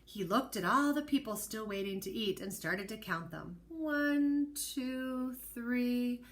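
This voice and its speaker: A woman teacher reading a children's storybook aloud expressively, pausing to explain things and put questions to the listener. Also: mid-range voice, lively intonation, fairly bright tone. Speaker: early learning teacher.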